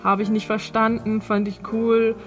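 A woman's voice: fairly high pitch, little pitch movement, moderate pace, music in the background, rough recording. A woman speaking casually as a podcast host, chatting to her listeners.

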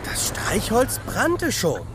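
Cheeky lad full of excuses, high bright voice, slowish, all over the place. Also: male voice, wildly swooping pitch, long reverb tail, over music, full character voice, poor audio. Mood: defiant. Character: cheeky boy fibber with glib excuses. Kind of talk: children's audio drama acting.

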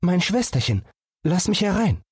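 A man's voice: sits high, very animated, moderate pace, husky, no room sound, studio quality, voice pushed up, exaggerated voice. A man voicing an eager, restless young enchanted fawn in a fairy tale, pleading and impatient. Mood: calling softly.

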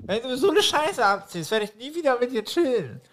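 A man's voice: extremely high pitch, sing-song, fairly quick, fairly bright tone, slight background noise. A man performing casual improvised comedy banter.

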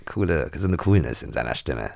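Low creaky guy cohosting, playful casual chat, close dry mic. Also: animated, brisk, darkish tone, no echo. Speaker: radio drama magazine co-host. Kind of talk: casual conversational review.

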